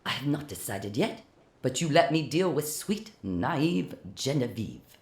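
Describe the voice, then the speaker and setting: A narrator voicing a scheming male pirate who talks coldly and low behind a door. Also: sing-song, a little slow, echoey room. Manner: cold, hushed and muffled.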